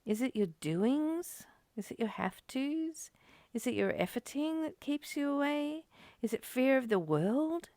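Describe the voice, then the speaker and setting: A woman's voice: high-pitched, animated, a little slow, warm tone, no echo. A woman giving a spiritual teaching, reading aloud and reflecting in an earnest, emphatic manner. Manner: earnest, emphatic, with rhetorical questions and repeated phrases. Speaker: spiritual teacher leading a study of a course text.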